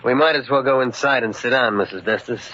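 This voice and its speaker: A man plays a tough American henchman in a radio crime drama. He talks in blunt street slang at a middle pitch, calmly threatening and matter-of-fact. The sound is a dull, muffled old broadcast recording. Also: a little fast, a little hiss or hum, natural voice. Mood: gruff menace.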